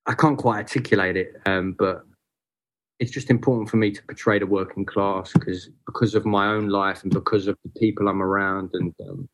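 Hesitant, low-voiced man as interview guest. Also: steady tone, normal speed, poor audio. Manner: hesitant, many fillers and restarts. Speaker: photographer guest. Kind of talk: casual interview chat.

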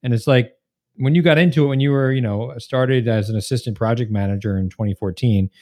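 A man's voice: medium pitch, fairly even intonation, a little fast, mellow. An American man hosting a podcast, asking informal, rambling questions that he keeps rephrasing. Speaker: podcast host, interviewer. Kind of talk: casual interview questions.

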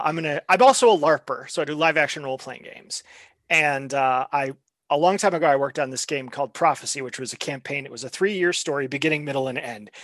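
A man with a slightly higher voice talks on a podcast in a relaxed way. He tells stories from his gaming years and slips into quoted voices. The sound is dry and close, with no room around it. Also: no room sound. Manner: chatty storyteller, acts out quotes. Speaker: podcast co-host. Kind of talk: casual chat.

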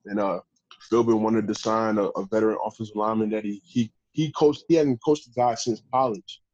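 A man, a professional football player, telling stories in a casual interview with a deep voice and a loose, rambling way of talking. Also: fairly even intonation, a little fast, darkish tone, no room sound, muffled recording. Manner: laid-back, rambling with restarts. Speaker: pro football player guest.

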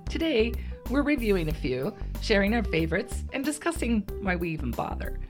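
A woman co-hosting a casual chat podcast, her voice husky and froggy from a cold, asking friendly questions and laughing often. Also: mid-range voice, very animated, a little fast, over music. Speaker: podcast co-host.

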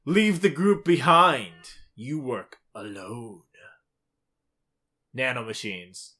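A man's voice: mid-range voice, very animated, slow pace, bright, forceful tone. A man co-hosting a podcast in casual, playful banter, sometimes reading out quiz questions in a teasing way. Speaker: podcast co-host.

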